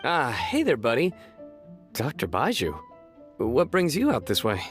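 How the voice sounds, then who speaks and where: A friendly, concerned town guard in a video game. His voice is mid-pitched and animated, with faint music underneath. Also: unhurried. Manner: informal, expressive. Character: concerned, friendly male city guard searcher.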